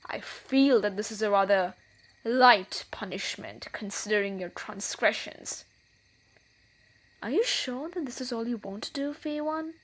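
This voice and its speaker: A woman's voice: on the high side, a little slow, low-quality recording. A woman playing a wily, menacing thief in a scripted fantasy audio roleplay, with a theatrical delivery. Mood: menacing, mocking.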